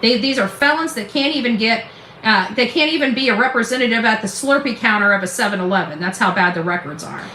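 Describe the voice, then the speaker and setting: A woman's voice: a little high, lively intonation, fast, bright, forceful tone, slight background noise. A woman giving informal, opinionated online commentary as a host, blunt, defiant and combative, with salty slang. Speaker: YouTube true-crime commentary host. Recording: echoey, roomy sound.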